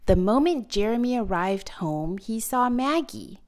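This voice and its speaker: Woman reading a kids book aloud, takes it slow, super expressive, stresses words dramatically. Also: a little high. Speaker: children's storybook narrator. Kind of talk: expressive read-aloud for young children.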